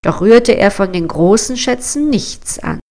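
A woman reads a fairy tale as a storyteller. Her voice sits at a middle pitch, and she takes her time, with a measured, expressive storybook delivery. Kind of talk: scripted fairy-tale narration.

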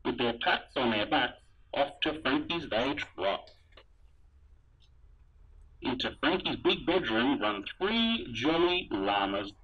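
Man voicing helmeted trooper, storybook read-aloud, slow, lively swooping pitch, radio filter. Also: husky, exaggerated voice. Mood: animated storytelling. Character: helmeted male sci-fi trooper reading a storybook to children. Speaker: storybook reader. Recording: dull, muffled radio-like sound.

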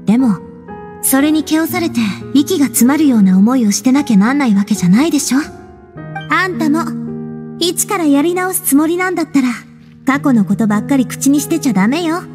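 Frank, high-voiced young town leader. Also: female voice, animated, brisk. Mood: frank, consoling. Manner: breezy, direct. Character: frank, big-sisterly young woman leading a town. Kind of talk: Japanese video game voice acting. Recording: reverberant hall-like echo. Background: faint music.

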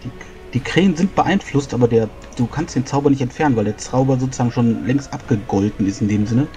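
Male tabletop player, brisk and corrective rules explainer, faint music underneath. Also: medium pitch, warm tone. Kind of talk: casual rules discussion during a game.

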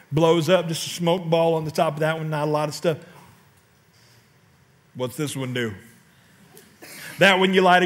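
A middle-aged male pastor preaching on a large church stage into a headset mic, telling stories with sudden loud outbursts and questions to the congregation. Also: fairly high pitch. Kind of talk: sermon.

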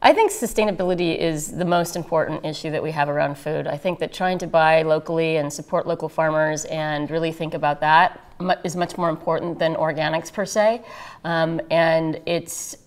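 A woman's voice: low-pitched, fairly even intonation, moderate pace. A middle-aged woman, a chef, speaking thoughtfully in a documentary interview in a living room.

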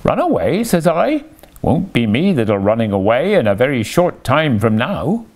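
A man reading a storybook aloud and putting on a shrill, boastful old washerwoman who scares off the guards. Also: measured pace. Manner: high, excited sing-song.

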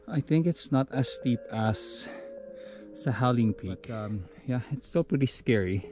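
A man's voice: mid-range voice, expressive, a little slow. Chatty man vlogging on a windy trail. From Philippines. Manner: chatty, mixes English with Filipino. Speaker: hiking vlogger. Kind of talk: casual vlog narration. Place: outdoors on a windy mountain trail. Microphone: handheld camera at arm's length. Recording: windy outdoor audio with music.

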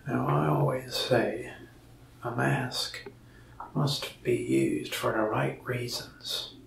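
A young man playing a lonely, friendly mask shop salesman in a soft-spoken ASMR roleplay, talking slowly and often slipping into a whisper. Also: mid-range voice, echoey room, distant mic, slight background noise, rough recording. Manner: slow, soft-spoken, gravelly with frequent whispered stretches. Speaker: ASMR creator. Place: small indoor room, mask wall behind.

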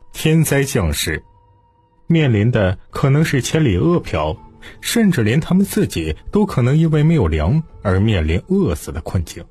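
Man, husky rasping voice, animated dramatic audiobook narration, music underneath. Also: mid-range voice. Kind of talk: dramatic scripted narration of historical fiction.

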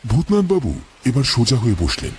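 A man's voice: mid-range voice, big pitch swings, fairly quick, a little mellow, slight background noise. A man reading a scripted horror story as a dramatic narrator. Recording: clear background music. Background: music.

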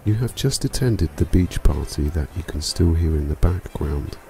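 Man, low gravelly voice, calm and soothing, scripted sleep meditation, music behind. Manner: calm, soothing, unhurried delivery. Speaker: meditation guide.

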